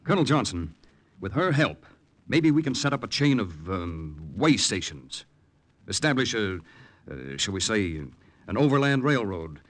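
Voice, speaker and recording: An actor playing a calm, resolute American secret agent lieutenant, telling his wartime story in a measured, earnest 1940s radio drama style. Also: on the low side, exaggerated voice. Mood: keen, businesslike. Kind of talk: 1940s radio acting, first-person dramatic narration. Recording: 1940s radio recording, music underneath. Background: dramatic music.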